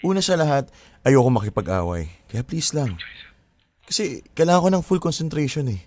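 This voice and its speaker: A man's voice: medium pitch, big pitch swings, brisk, a little mellow, lightly acted. A man playing an exasperated boyfriend in a Filipino audio drama, conversational and switching between Tagalog and English. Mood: exasperated pleading. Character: exasperated boyfriend working from home, pleading for peace. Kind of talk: Filipino radio-style drama acting.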